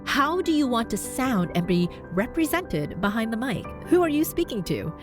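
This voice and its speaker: A Canadian woman with a neutral North American accent hosts a podcast as a voice coach. She sounds upbeat and encouraging, with her voice leaping upward to stress her points. Soft music plays faintly underneath. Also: big pitch swings, normal speed. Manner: upbeat, encouraging, with big jumps in pitch for emphasis. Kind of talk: scripted podcast monologue.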